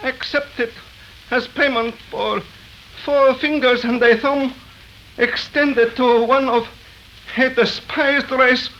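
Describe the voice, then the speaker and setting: An actor playing a humble, cryptic man, speaking in solemn, halting proverbs in an old radio melodrama. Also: very high-pitched, steady tone, pushed and bright, exaggerated voice. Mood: grave, humble. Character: serene, fatalistic old-world Chinese man, humble and cryptic. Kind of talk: 1930s radio melodrama acting. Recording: dull, noisy 1930s radio recording.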